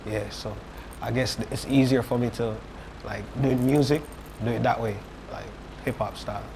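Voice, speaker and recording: A man's voice: medium pitch, steady tone, moderate pace, background noise. A young man, a hip hop artist, whose accent mixes American and Jamaican patois, talking in a laid-back way with many fillers. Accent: American mixed with Jamaican patois.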